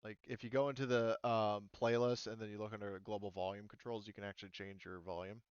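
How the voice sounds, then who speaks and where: A man's voice: on the low side, little pitch movement, fast talker, smooth, no room sound, highs cut off. A man running a tabletop roleplaying game as game master, explaining rules and action casually with some swearing.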